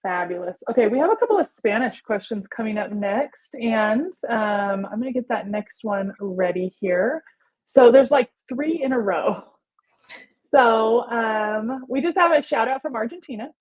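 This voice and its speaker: A woman's voice: a little high, animated, unhurried, muffled recording. A woman casually hosting a livestream and reading out viewer questions.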